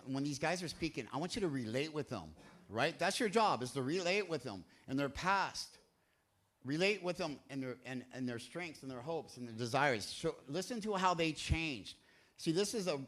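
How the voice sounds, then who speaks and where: A man hosting a recovery meeting from a church stage, talking to the crowd in a loose, rambling, jokey way. He swings between quiet, low asides and loud, rousing calls. His delivery leans toward a sermon. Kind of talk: energetic sermon-like talk to a crowd. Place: church hall, speaking from a stage.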